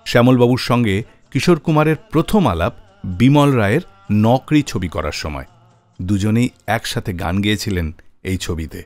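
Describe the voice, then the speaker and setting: A man presents a music radio show in a scripted narration style. His mid-pitched voice moves up and down expressively. The sound is dry and close, with faint music behind. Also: lively intonation, moderate pace.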